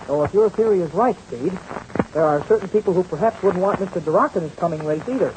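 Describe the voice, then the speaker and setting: An actor playing an eager, boyish young American pilot in a 1930s radio adventure serial, with a wholesome, exclamatory manner. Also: male voice, sits high, fast talker, lightly acted. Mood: earnest. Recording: noisy, hissy old radio recording.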